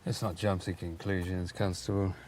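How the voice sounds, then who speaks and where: An actor playing a weary senior police detective in film dialogue, dry, deadpan and unimpressed. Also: male voice, low-pitched, normal speed, slight background noise, natural voice.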